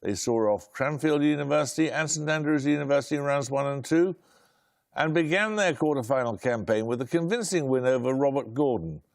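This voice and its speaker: A man hosting a quiz show, reading out questions in a brisk, precise way with dry, wry humour. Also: mid-range voice, gravelly, no echo.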